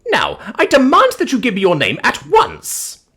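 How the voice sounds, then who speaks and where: A man's voice: on the high side, huge pitch swings, fairly quick, sharp tone, slightly rough, voice pushed up, exaggerated voice. A man voicing a haughty, indignant noblewoman in an audiobook, pompous and admonishing in manner. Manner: pompous, admonishing, ornate speech. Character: haughty, indignant, scolding noblewoman, a snobbish busybody.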